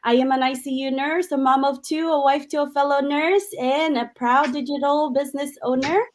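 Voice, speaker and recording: A woman's voice: high-pitched, steady tone, moderate pace. A woman hosting a live online panel over a video call, upbeat and earnest, with fillers as she talks.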